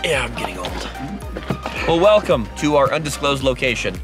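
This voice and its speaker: A man's voice: mid-range voice, very animated, moderate pace. A man hosting a vlog, chatting and explaining things off the cuff in an informal, joking way. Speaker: video host, broadcast engineer. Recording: background music over the voice.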